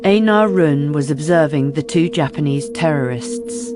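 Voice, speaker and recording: Measured woman narrator over music. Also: sits low. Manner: measured, factual. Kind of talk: scripted drama narration.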